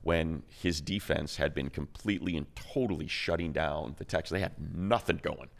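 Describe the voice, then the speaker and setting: A man's voice: very deep voice, normal speed, vocal fry. A man co-hosting a sports show, giving analysis in a casual conversational way.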